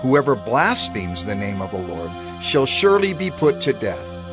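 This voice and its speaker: A man reading scripture aloud in a measured, declamatory manner. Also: medium pitch, very animated. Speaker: scripture reader. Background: music.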